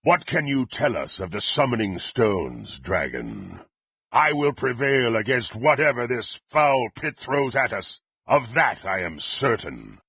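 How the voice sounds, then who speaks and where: An actor playing a brutal, bloodthirsty fantasy warrior in a video game, haughty and threatening, with a gruff, raspy voice and formal archaic diction. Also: male voice, mid-range voice, huge pitch swings, a little slow, pushed and bright, dry recording, heavily acted. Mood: grim resolve. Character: brutal, menacing, bloodthirsty male warrior, contemptuous and impatient. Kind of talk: theatrical fantasy game voice acting.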